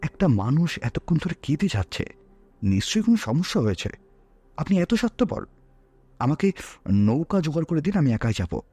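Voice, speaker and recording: Man acting an insistent, husky village schoolteacher. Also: a little high, very animated, normal speed, pauses often, warm tone, pitched above the performer's own voice. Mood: annoyed, commanding. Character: insistent male village schoolteacher, slightly older, bossy and testy. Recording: music bed under the voice.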